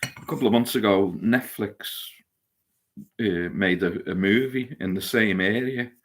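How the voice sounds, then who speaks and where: A man's voice: on the low side, a little slow. A man co-hosting a casual live stream, talking hesitantly about news stories with lots of uhs and restarts. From Lancashire, England.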